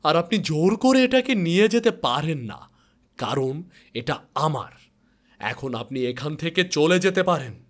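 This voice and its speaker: Man voicing a humble villager, pitched up. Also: sing-song, unhurried. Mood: firm, protesting. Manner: respectful but insistent, pleading. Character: humble, anxious, stubborn poor villager.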